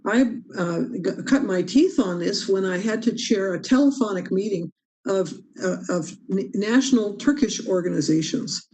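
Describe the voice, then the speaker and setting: An earnest woman leads a training on meeting rules over a video call, leaning hard on the points she thinks matter most. Her voice is mellow and dark-toned, at an ordinary pitch. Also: lively intonation, normal speed, rough recording. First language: English. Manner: emphatic, earnest, stresses key rules. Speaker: parliamentary procedure trainer. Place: home or office on a video call. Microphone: video call microphone.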